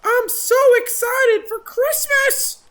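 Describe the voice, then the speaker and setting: A woman voicing an excitable, festive female oxpecker bird in a children's storybook, chirping with insistent, drawn-out exclamations. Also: very high voice, pitched above the performer's own voice, full character voice. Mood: excited, insistent.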